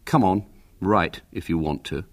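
A man playing a bossy, gruff schoolboy gang leader with a firm, scornful manner in a storybook reading. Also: low-pitched, a little slow. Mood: resigned. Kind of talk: audiobook character voice.

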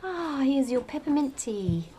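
A woman's voice: high-pitched, sing-song, measured pace, warm tone, a little hiss or hum. An actress playing a romantic, bookish woman of forty-five who talks with breezy enthusiasm. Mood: bubbly. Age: 40s. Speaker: friend at lunch. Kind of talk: scripted radio drama dialogue.

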